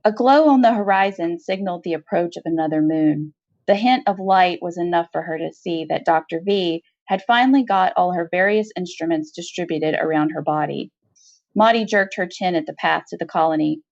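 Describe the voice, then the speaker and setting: A woman reading a short story aloud as expressive scripted narration. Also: on the low side, normal speed. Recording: dull, roomy home recording.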